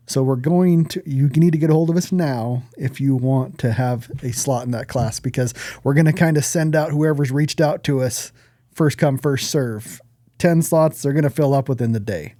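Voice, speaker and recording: Blunt American man, slightly higher voice, close-miked. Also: moderate pace, mellow, no echo. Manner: blunt, casually profane. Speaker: podcast co-host. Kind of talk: casual podcast chat.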